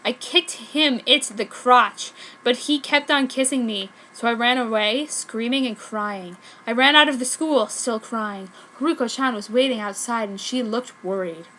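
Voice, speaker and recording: High, bright-voiced woman reading fanfic. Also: unhurried, slight background noise, poor audio. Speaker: fan fiction reader, narrator. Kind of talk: mock-serious dramatic story narration.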